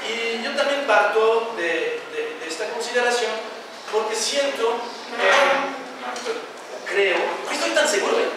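A man's voice: very high voice, expressive, measured pace. A man in his forties, born in France and speaking a second language, giving a thoughtful, hesitant panel talk with many restarts. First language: a regional language of France. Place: large classroom with panel audience. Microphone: handheld mic, far camera. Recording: echoey room, far mic, background hum.

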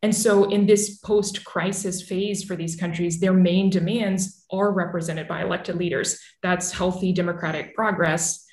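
A woman in her thirties explaining politics as an expert guest on a video call, articulate and measured. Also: on the low side, fairly even intonation, a little mellow. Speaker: newsletter author and analyst guest. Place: small home room with bookshelf, indoors. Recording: roomy, echoing video call audio.

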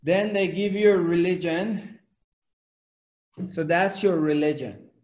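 A man in his forties speaks slowly to a webcam in a room at home, asking question after question with broad hand gestures. His voice sits a little high. The room adds a noticeable echo. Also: darkish tone. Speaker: spiritual teacher. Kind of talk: spiritual discourse to camera. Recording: echoey room.

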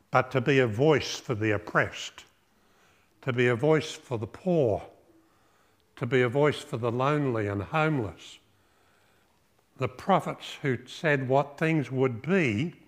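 Slow-speaking older man preaching. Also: medium pitch. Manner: slow, measured preaching with rhetorical questions and lists. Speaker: pastor. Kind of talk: sermon. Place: small church hall with drum kit, indoors.

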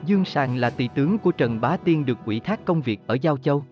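Man, scripted historical narration, formal storyteller, medium pitch, faint music behind. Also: expressive, quick pace, highs cut off. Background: background music.

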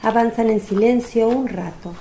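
A woman's voice: fairly high pitch, moderate pace, warm tone, a little hiss or hum. A woman reading scripted narration for a radio drama as its narrator.

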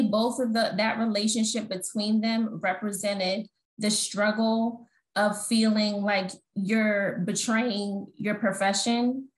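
Middle-aged woman in an echoey room. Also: mid-range voice. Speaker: nurse. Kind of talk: reflective panel discussion. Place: room at home. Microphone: earbuds on a video call. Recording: echoey room over video call.

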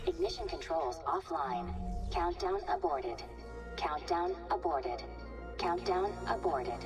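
A woman's voice: sits low, animated, slow. A woman voicing an impersonal military computer in a video game, making formal system announcements. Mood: impersonal alert. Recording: distant voice under loud music.

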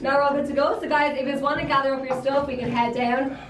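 A woman's voice: high voice, steady tone, fast, recorded from a distance. A woman tour guide explaining a whiskey tasting to a group in a friendly, informative way. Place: indoor whiskey tasting room. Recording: echoey room, mic from afar, noisy.

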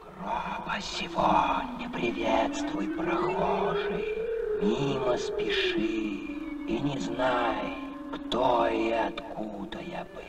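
A man playing a ghostly dead man who declaims verse theatrically in an animated film. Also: medium pitch, very slow, far from the mic, over loud music. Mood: grim.

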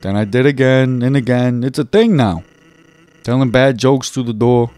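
A man playing a video game on a let's play, reading a character's dialogue aloud in a relaxed, jokey way. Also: mid-range voice, normal speed, no room sound. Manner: laid-back, jokey, reads lines aloud. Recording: faint game music behind.

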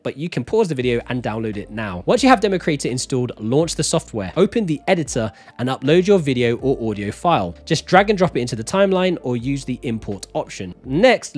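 A man in his thirties hosting a scripted tutorial in an emphatic, animated presenter style, close to a desk microphone. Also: medium pitch. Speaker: host of a software tutorial channel. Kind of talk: scripted upbeat video tutorial narration. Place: small home-style studio, indoors. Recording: background music. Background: music.

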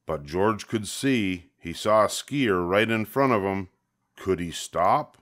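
An older man narrates a children's picture book in a low voice. He goes slowly and expressively, drawing out the key words. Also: lively intonation, a bit bright. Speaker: storybook reader.

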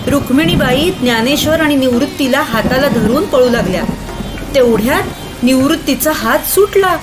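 A woman narrating a children's moral story in an expressive storytelling style. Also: sits high.

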